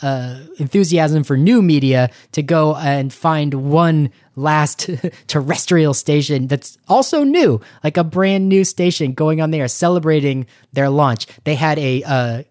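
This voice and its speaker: Excitable man with a higher voice. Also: measured pace, few pauses, no echo, clean studio sound. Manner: excitable, effusive. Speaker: podcast co-host. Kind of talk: casual enthusiastic podcast chat.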